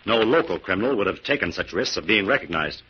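An actor playing a confident, take-charge American crime-fighter in 1940s radio style, brisk and authoritative, on a muffled old recording. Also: male voice, sits low, expressive, slightly rough, heavily acted. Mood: assured.

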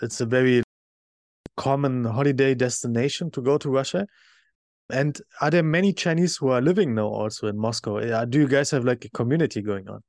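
A man hosting a podcast, asking questions in a steady, chatty way. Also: mid-range voice, a little fast, dry recording. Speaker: podcast host. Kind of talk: interview questions.

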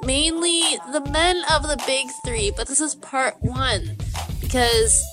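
A woman's voice: sits high, steady tone, takes it slowly. A young woman, a student from Canada, hosting a casual music countdown in a bubbly, chatty way while congested. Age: young adult. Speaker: host. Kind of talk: casual chat.

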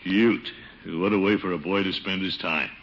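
An actor playing a blustering, self-satisfied middle-aged uncle in a 1950s radio sitcom, hemming and easily exasperated. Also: male voice, sits low, fairly even intonation, moderate pace. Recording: dull, noisy old radio recording.

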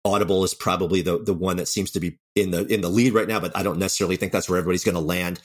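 A man's voice: low voice, steady tone, rapid-fire, slightly rough. A man talking casually and candidly as an interview guest, with a self-deprecating way about him. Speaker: writer-director of audio dramas, interview guest.

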